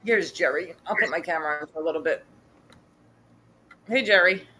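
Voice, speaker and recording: A woman's voice: lowish voice, brisk, bright, forceful tone. A woman hosting a casual live hangout, chatting informally. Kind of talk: casual chat. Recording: clear background noise.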